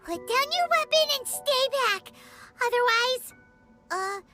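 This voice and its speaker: A woman voicing a tiny, excitable girlish fairy companion in a game cutscene, with a shrill, bouncy delivery. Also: takes it slowly, soft background music, heavily acted. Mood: defiant. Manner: shrill, bouncy, talks about herself in third person.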